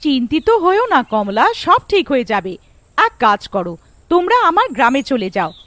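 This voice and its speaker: A woman's voice: high-pitched, big pitch swings, normal speed, a bit bright, dry recording. A woman voicing a kindly, reassuring older aunt who gives instructions in a cartoon story. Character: kindly, well-off older neighbour aunt offering help.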